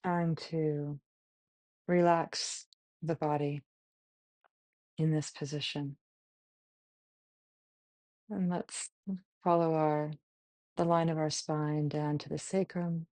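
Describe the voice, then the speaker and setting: A woman guiding a meditation, giving gentle instructions in short phrases with long pauses between them. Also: low voice, flat delivery, no echo, poor audio. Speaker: meditation guide. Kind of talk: guided body scan meditation.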